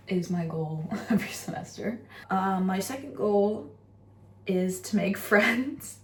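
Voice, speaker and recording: Young adult female, American accent, slightly low register; casual student-vlogger delivery. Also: slight background noise. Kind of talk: casual vlog chat with voice-over recaps.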